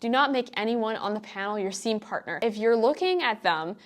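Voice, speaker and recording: A woman's voice: fairly high pitch, animated, a little fast. A young woman in her twenties, an acting student, giving casual and emphatic audition advice to camera, with lots of "like" and asides. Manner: chatty, emphatic, full of "like" and asides. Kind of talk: casual tutorial vlog to camera.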